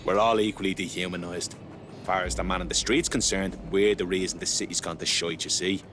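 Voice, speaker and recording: Deep-voiced, jaded detainee, played by a man. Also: steady tone, brisk, background noise, close to an everyday voice. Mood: sardonic, weary. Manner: sardonic, world-weary, slangy. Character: jaded, sardonic male refugee camp detainee, hard drinker. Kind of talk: video game dialogue. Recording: music behind.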